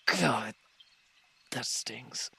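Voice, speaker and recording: A man playing a wounded, irritable villain in an audio roleplay, gruff and curt but grudgingly caring, with an exasperated, grumbling delivery. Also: medium pitch, lively intonation, drawn-out words, bright, forceful tone, heavily acted. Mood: pained, grumbling. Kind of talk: scripted audio roleplay acting.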